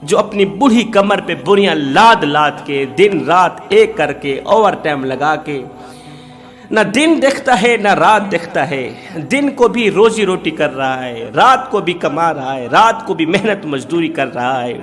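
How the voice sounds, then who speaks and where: Male Islamic storyteller, high voice, emphatic repeated lines, emotive oratory, long echoing reverb. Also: lively intonation, unhurried, fairly bright tone. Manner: emphatic, rhetorical repetition; dramatic, emotive delivery. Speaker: Islamic preacher and moral storyteller. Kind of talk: Urdu-style religious sermon storytelling, oratory.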